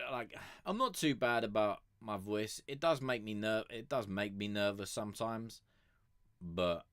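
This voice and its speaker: A man's voice: on the low side, fairly bright tone, no room sound, clean studio sound. A man streaming music reviews, chatting casually and rambling with lots of fillers, restarts and the odd swear word. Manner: consciously keeps his voice raised above its natural depth. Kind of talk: casual chat.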